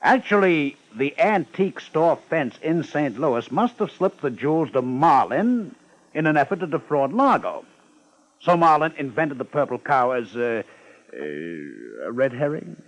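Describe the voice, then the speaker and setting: A man's voice: on the high side, sing-song, measured pace, low-quality recording. A man playing an exasperated, formal police investigator in a 1950s radio drama, speaking with dry, sardonic precision. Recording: early 1950s radio broadcast.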